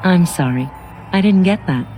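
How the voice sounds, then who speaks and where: A woman playing an emotionless, polite software voice assistant in an audio drama, with formal, clipped phrasing. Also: on the low side, animated, normal speed, in a large hall, faint music behind, lightly acted, low-quality recording. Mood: flat, detached.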